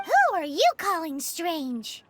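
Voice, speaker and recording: Tiny cheeky fairy girl from a video game, super high squeaky voice, bouncy intonation, unhurried. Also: wildly swooping pitch, noisy background, heavily acted. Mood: indignant, blunt. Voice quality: squeaky, childlike. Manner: refers to self in third person, naive, exclaiming.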